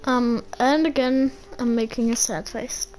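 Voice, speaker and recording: Slow-paced, high-voiced woman game streamer. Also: steady tone, darkish tone, low-quality recording. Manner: halting, repeating phrases. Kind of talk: casual gameplay commentary. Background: game music.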